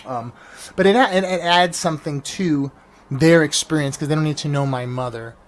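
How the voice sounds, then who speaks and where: A man's voice: on the high side, moderate pace. A man from New York, a stand-up comedian hosting his own vlog podcast, rambling casually with lots of restarts and fillers. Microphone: janky mic. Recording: faint engine noise behind. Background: engine noise.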